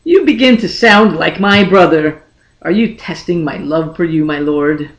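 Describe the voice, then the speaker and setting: A man's voice: on the high side, a little slow, a bit bright, reverberant, pitched below the performer's own voice. A man voicing a devoted, frank young noblewoman in a fantasy audiobook, earnest, formal and persuasive. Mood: tender reproach. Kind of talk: dramatic fantasy audiobook character reading.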